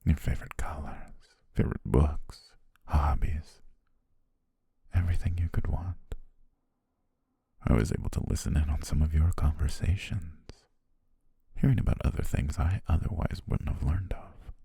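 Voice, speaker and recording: An obsessive, softly menacing yandere photographer played by a man for an ASMR roleplay. The voice is extremely deep and very breathy, sinking into gravel and half-whispers. He speaks slowly and possessively, with deliberate pauses. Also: no room sound, heavily acted. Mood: possessive, tender. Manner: slow, hushed, deliberate, with drawn-out pauses. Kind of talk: ASMR roleplay, intimate slow monologue.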